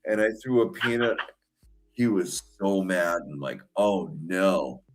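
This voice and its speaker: A man's voice: low voice, expressive, slow, soft background music. A man hosting a call-in show, telling a crude story in a rambling, casual way with lots of fillers.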